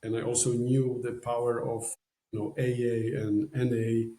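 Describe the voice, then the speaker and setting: A man's voice: mid-range voice, flat delivery, moderate pace, warm tone, roomy sound, low-quality recording. A man from Poland with a Polish accent, a podcast guest telling a story in casual, rambling talk full of "you know" fillers. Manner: rambling, many "you know" fillers, non-native phrasing.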